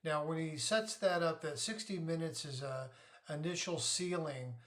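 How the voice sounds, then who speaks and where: A middle-aged man giving an informal explainer as a parent sharing advice, deliberate and methodical in how he lays things out. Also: on the high side, a bit bright. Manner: deliberate, methodical, poses questions then answers them.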